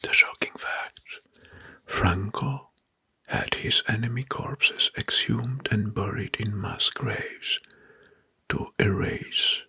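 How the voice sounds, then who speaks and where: Guy whispering asmr history stuff, super slow n breathy, dry close sound. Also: lowish voice, no room sound. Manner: slow, deliberate, repeats words softly. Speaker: ASMR creator and narrator.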